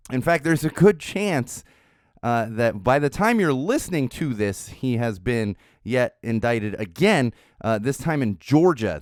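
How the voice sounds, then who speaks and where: A middle-aged man hosting a solo podcast, his voice slightly raspy while it recovers, chatting in a rambling way with lots of uhs. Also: mid-range voice, darkish tone, dry recording, studio quality. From Southern California. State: recovering from lost voice. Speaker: podcast host.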